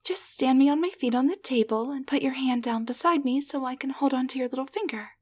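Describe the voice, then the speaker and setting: A woman playing a gentle, grateful young waitress turned into a tiny living doll, speaking softly and hesitantly in an intimate roleplay audio drama. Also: high voice, a little fast. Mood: relieved, eager. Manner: soft, breathy, hesitant, tender delivery.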